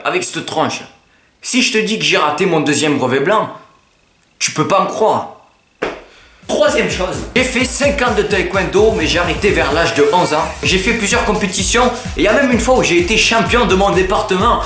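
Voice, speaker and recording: A man's voice: sits high, moderate pace, roomy sound, soft background music. A teenage boy with a Southern French accent, chatting to camera in a casual, self-mocking vlog. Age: teens. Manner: joking, self-mocking, lively banter. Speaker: YouTuber.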